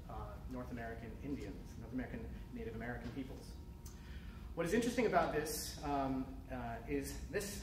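A man's voice: mid-range voice, recorded from a distance, background noise. Man lecturing in an echoey hall. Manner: measured, thoughtful, with frequent "um" fillers. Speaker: keynote speaker. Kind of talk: academic lecture. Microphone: podium mic heard from a distance.

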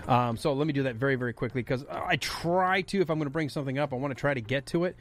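A man's voice: mid-range voice, fast talker. A man in his fifties guest hosting a radio talk show, joking and reading out entertainment news. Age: 50s. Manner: jokey, reads news items aloud.

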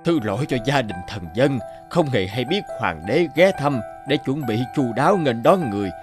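Man voicing a gentle old father, expressive delivery. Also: medium pitch, moderate pace, music in the background, close to an everyday voice. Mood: humble, concerned. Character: gentle old bamboo cutter, loving adoptive father. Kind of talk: Vietnamese children's cartoon dubbing.

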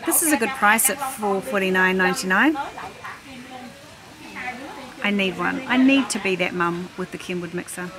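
A woman's voice: moderate pace, pauses often, crowd noise. A middle-aged woman chats casually, thinking aloud as she narrates her shopping. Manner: chatty, thinking aloud while browsing. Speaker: shopping vlogger. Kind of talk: casual chatty shop-along narration. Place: large indoor warehouse store. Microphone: handheld camera, operator behind it. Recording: noisy warehouse store background hum. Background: loud store ambience and rumble.

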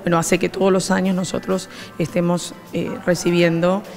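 A middle-aged woman with an Argentine Spanish accent explains a language program, steady and measured, often pausing on "eh". Her voice sits low with a gravelly edge. She speaks into a handheld mic in a classroom. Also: faint music behind. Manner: measured, with frequent "eh" fillers. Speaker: program coordinator. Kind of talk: explanatory interview. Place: classroom with students behind. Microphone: handheld reporter mic.

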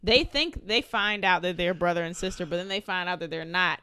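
A woman's voice: lowish voice, brisk, sharp tone, smooth. A woman chatting casually and bluntly with a group around podcast microphones. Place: small room at home, around a table.

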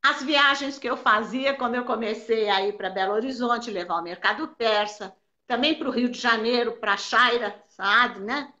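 An older woman from Brazil recalls her dance career in a bright, forceful voice that sits a little high. She talks in an animated way and repeats words for emphasis. The sound is dull and lo-fi, like audio from an online call. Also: normal speed. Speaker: belly dance teacher, interviewee. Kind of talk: casual interview answers.

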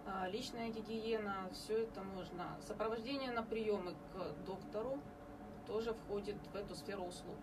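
A woman from Ukraine talks through the details carefully, pausing on fillers as she goes. Her voice sits at an ordinary middle pitch and is picked up from across a small studio, with steady noise underneath. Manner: measured explaining with many hesitation fillers. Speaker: home care agency representative. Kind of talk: radio studio interview. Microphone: studio mic on boom arm, captured by far camera. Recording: distant camera audio with background noise.

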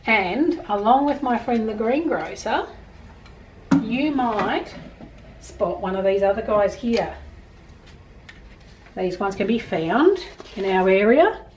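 A middle-aged Australian woman presenting storytime, reading and explaining to young children in an expressive, emphatic way from a small room at home. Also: medium pitch, slow, pauses often, far from the mic, noisy background.

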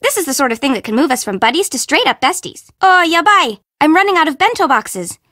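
A woman's voice: high voice, very animated, quick pace, bright, forceful tone, no echo, studio quality, heavily acted. A woman playing a perky, sassy young Japanese bento shop owner in a video game, with an informal, teasing, sarcastic manner. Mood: bubbly, casual. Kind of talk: video game character voice acting.

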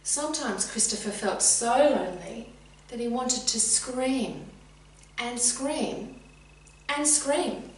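A middle-aged woman reading a children's story expressively and deliberately, addressing young listeners directly. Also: fairly high pitch. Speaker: teacher reading a picture book. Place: indoors, sitting room with bookcase. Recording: very echoey room, distant mic, faint hum.

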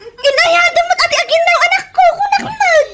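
A woman's voice: extremely high pitch, moderate pace, over loud music. A woman playing a nagging, suspicious middle-aged village wife in an Ilocano comedy radio drama, whiny and scolding with a Filipino Ilocano accent. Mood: exasperated.

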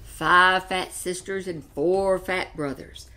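A woman's voice: on the low side, fairly even intonation, slow, pushed and bright. A woman in her seventies voicing a big, hungry, grumbling male lion in a children's storybook. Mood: muttering.

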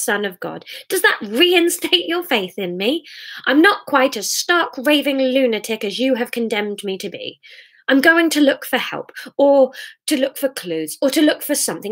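Woman as a frustrated man ranting, high-pitched. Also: a bit bright. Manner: emphatic, sarcastic ranting. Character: frustrated, defensive man in a lovers' quarrel.

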